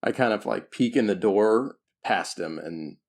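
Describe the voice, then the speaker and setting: A man's voice: on the low side, expressive, a little slow, vocal fry. A man chatting casually as a player in a tabletop role-playing game, wry and jokingly defensive.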